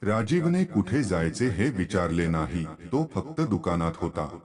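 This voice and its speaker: A man's voice: sits low, a little mellow. A man narrating a scripted story as a storyteller.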